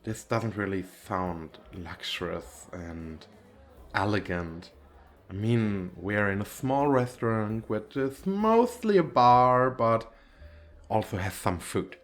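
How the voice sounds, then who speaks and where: A man's voice: on the low side, expressive, slow pace. A man acting a shy, nervous, wealthy businessman on a speed date in an ASMR roleplay, talking in a hesitant, rambling, self-deprecating way. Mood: sincere, self-conscious. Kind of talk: ASMR roleplay, intimate flirty chat.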